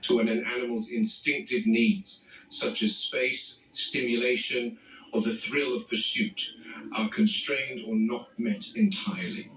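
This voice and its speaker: A man plays a grave, wary animal handler narrating a found-footage documentary. He speaks in measured, formal phrases at a medium pitch. The sound is echoey and distant, with clear tape-like noise. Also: little pitch movement, fairly bright tone, natural voice. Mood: grave, explanatory. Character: male game warden and animal expert, grave, wary, exacting.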